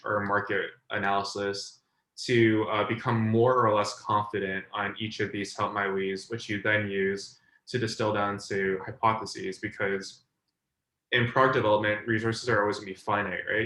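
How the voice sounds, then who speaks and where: A man's voice: on the low side, bright, forceful tone. A young man from China, a design mentor, explaining ideas in a loose, talky way with lots of fillers, on a video call. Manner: loose and talky, filler words, "like" often, checks "does that make sense". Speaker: design mentor hosting a group session. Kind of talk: casual online teaching. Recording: roomy echo.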